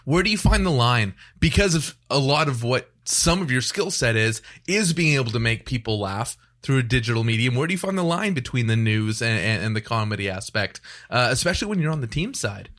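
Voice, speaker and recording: Bright, chatty male podcast host. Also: medium pitch, lively intonation, a little fast, few pauses. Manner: chatty, joking, asks long rambling questions. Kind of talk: casual studio chat. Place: podcast studio.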